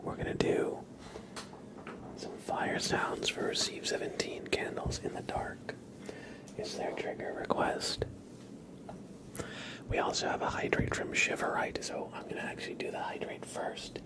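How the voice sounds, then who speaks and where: A man hosting an ASMR livestream, speaking in soft whispers with a gentle, playful manner. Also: unhurried, pauses often, rough recording. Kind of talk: ASMR, whispered roleplay and chat with viewers.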